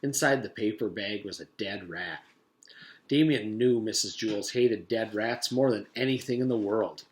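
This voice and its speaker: A man's voice: lowish voice, normal speed. Man narrating a children's storybook. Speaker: teacher reading aloud to pupils.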